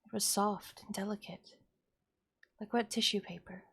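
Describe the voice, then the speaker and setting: A woman's voice: medium pitch, steady tone, moderate pace, slightly rough. A woman streamer reading a horror visual novel aloud as a dramatic narrator.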